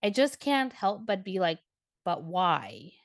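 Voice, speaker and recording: A woman from Ukraine, a podcast panelist chatting casually, animated and full of fillers. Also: mid-range voice, slow pace, no echo, studio quality.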